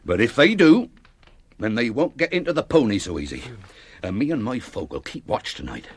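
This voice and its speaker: Radio fantasy role: forgetful, well-meaning English innkeeper. Stammering, rambling, husky, with lively swings in pitch. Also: male voice, moderate pace. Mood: earnest, obliging. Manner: bumbling, rambling, stammering rustic talk. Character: flustered, apologetic, forgetful fat innkeeper, loyal and well-meaning. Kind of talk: British fantasy radio drama acting.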